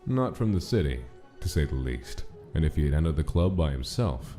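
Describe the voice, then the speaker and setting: A man's voice: a bass voice, big pitch swings, moderate pace, dark tone, soft background music. A man reading scripted narration of a gritty gangster-era story in a comic dub. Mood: brooding, measured.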